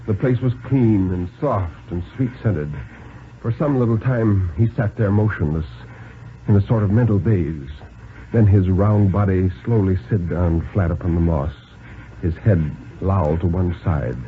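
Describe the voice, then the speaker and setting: A man gives a theatrical, deliberate dramatic reading of a story in 1950s radio style, with expressive pauses. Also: low voice, narrow, tinny audio, slight background noise. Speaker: narrator reading a thriller story. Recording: band-limited vintage 1950s radio broadcast.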